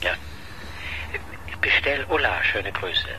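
An actor playing a nosy, sceptical newspaper reporter who needles in a familiar way, in a radio crime drama. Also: male voice, fairly low pitch, animated, slow, pushed and bright, a little hiss or hum, heavily acted, low-quality recording. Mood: needling, sceptical.

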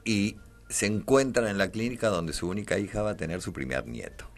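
A man, a guest in a radio studio who describes a play in a relaxed, storytelling way and laughs often. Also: lowish voice, fairly quick, gravelly, soft background music. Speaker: guest actor. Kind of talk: casual radio interview. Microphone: studio mic with headphones.

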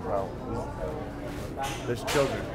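A man's voice: fairly low pitch, drawn-out words. A young man bantering casually in a brash, joking, slangy manner. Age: young adult. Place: busy restaurant and storefront at night. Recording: loud music and restaurant crowd behind.

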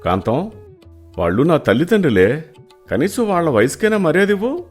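Man acting a high-voiced, caring elder brother. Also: sing-song, moderate pace, husky, soft background music. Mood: pleading. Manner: reassuring, pleading.

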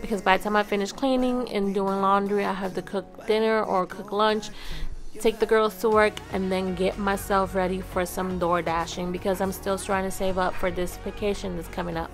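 A woman narrates a home vlog in a chatty, informal way. Her voice is of average pitch for a woman, and faint music plays behind her as she talks straight to her viewers. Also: steady tone, normal speed. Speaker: family vlogger, host. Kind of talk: casual voice-over narration of cleaning.

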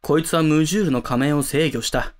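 A man's voice: on the high side, fast talker, close to an everyday voice. A man playing a bossy, grandiose student club president in a comedic voice drama, with a pompous, commanding delivery. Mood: solemn, insistent. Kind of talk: comedic anime-style voice drama acting. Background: background music.

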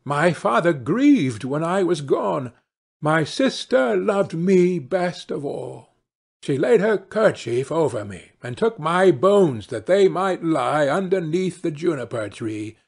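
A proud storybook bird , voiced by a man, pitched high above a normal speaking voice. It chants in a lively, swooping sing-song and stretches out its calls. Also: slow, creaky, breathy edge, exaggerated voice. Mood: plaintive, proud. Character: proud, magical singing bird, bargaining and bright.

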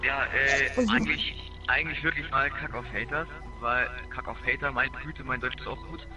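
A young man chatting casually while gaming with friends, halting and often repeating his phrases. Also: medium pitch. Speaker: gamer playing with friends. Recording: game music and gunfire behind voice.

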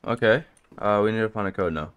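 A man's voice: on the low side, fast, fairly bright tone, clean tone. A man playing a horror game, commentating casually and nervously, talking to himself. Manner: jumpy, nervous, talks to himself. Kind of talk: casual let's play commentary.

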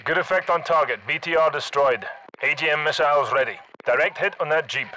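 An actor playing a tough, commanding Scottish special forces soldier who gives clipped military callouts in a shooter video game. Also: male voice, fairly high pitch, brisk, bright, forceful tone, a little hiss or hum, low-quality recording. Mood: businesslike, terse. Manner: clipped, terse radio-style military callouts. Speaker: video game squad leader.

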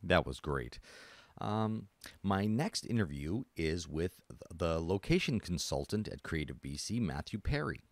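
A man's voice: deep voice, big pitch swings, unhurried. A man hosting a casual interview, chatty and loose, with lots of fillers and restarts.

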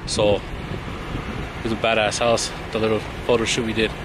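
Laid-back man vlogging over loud wind. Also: fairly low pitch, little pitch movement, normal speed. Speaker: vlogger, car customizer. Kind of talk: casual vlog chat. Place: outdoors, in or near a vehicle. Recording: loud wind noise from vehicle outdoors. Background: wind and traffic.

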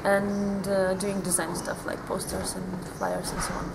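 A young woman from Lithuania, a volunteer, answering interview questions thoughtfully with pauses, outdoors in a garden. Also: medium pitch, flat delivery. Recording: outdoor noise.

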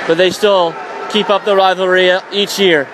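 High-voiced guy doing radio hockey play-by-play, gets shouty on shots, a little background noise. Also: steady tone, crowd noise. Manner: rapid, rising to shouts on shots and saves. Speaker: play-by-play announcer.

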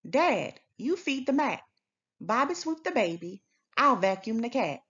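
A woman's voice: on the high side, big pitch swings, unhurried, fairly bright tone, muffled recording. A woman reading as a frazzled, bossy parent in a children's storybook, emphatic and commanding. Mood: indignant. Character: frazzled, bossy parent rallying the family to clean. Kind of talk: children's storybook read-aloud.

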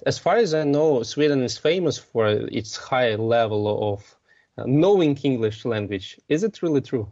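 Male radio host, medium pitch, asking interview questions, slightly lo-fi sound. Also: lively intonation, moderate pace, darkish tone.

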